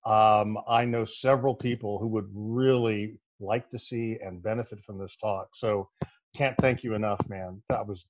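A man in the audience thanking the presenter, talking fast and apologizing for having to leave. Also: lowish voice, fairly even intonation. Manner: quick, apologetic, effusive. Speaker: audience member. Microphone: video call. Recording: dull lo-fi video call audio.

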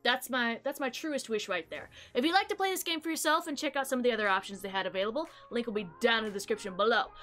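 A woman's voice: on the high side, fast talker, hardly any pauses, a bit bright. A young woman hosting a gaming video, chatting casually and expressively about what she played. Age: young adult. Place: small indoor room. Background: music.

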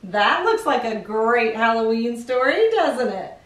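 Very animated, high-pitched woman storyteller. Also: a little slow, reverberant. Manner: animated, sing-song, talks directly to young children. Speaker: children's read-aloud show host. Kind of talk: children's storytime presenting and picture-book reading.

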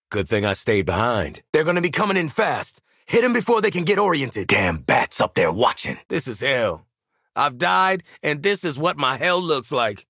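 A tough American hitman from a video game. His voice is husky and forceful, swinging widely in pitch. He talks streetwise and sardonic, wisecracking through short combat lines in a dry, close recording. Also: no echo, heavily acted. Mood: urgent, commanding. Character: tough, wisecracking male assassin and marksman.

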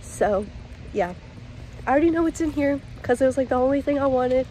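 A young woman in college chatting casually to her phone camera in a relaxed vlog, upbeat and full of fillers. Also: high-pitched, fairly quick, slight background noise, rough recording. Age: young adult. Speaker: college student vlogger. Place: dorm room and outdoors. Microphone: phone held at arm's length.

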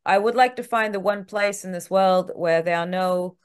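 A woman's voice: medium pitch, normal speed, clean tone. A middle-aged woman whose first language is French, speaking English with a French accent as a guest in a frank, chatty way with many fillers, over a video call from home. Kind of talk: casual interview chat.

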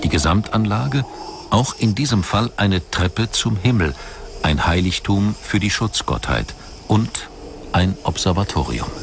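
A man narrating a scripted documentary in a measured, formal way. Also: low voice, background noise. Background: music behind the voice.

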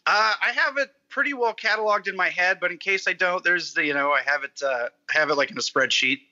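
Guest, 30s man, bright tense tone, dry humor; lo-fi video call sound from a basement. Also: high voice, quick pace. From Iowa. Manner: dry, deadpan jokes. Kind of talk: casual chat on video call. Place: basement video rental room. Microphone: laptop or phone on video call. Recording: slightly dull video call audio.

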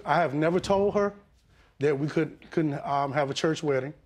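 A middle-aged man from the American South testifying in a TV courtroom, speaking haltingly with many pauses and fillers, respectful toward the judge. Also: a little high. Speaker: litigant. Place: TV courtroom set.

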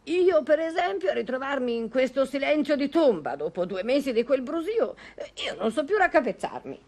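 A woman's voice: high-pitched, very animated, moderate pace, a little mellow. A woman acting a talkative, fretful and devoted housekeeper in a vintage radio drama, with a sighing, plain-spoken, gossipy manner. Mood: worried, gossipy. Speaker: actress in a radio play.

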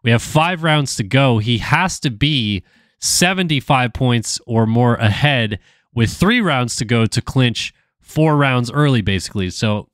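A man's voice: medium pitch, a bit bright, dry recording, studio quality. A man giving casual live commentary on a simulated motocross race, slightly nasal from a cold, with plenty of ums and uhs. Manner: casual, talkative, frequent fillers. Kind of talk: live sim racing commentary.

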